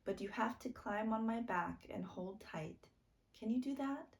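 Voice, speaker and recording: A woman's voice: a little high, unhurried, vocal fry, distant mic, voice pushed up. A woman voicing a young girl, a responsible and bossy but caring older sister, urging firmly in a storybook. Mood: caring, steady.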